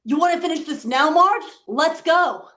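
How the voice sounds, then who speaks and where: Actress playing tough woman, high voice, combative, skeptical, challenging, comedy table read. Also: lively intonation, normal speed, a bit bright. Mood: confrontational.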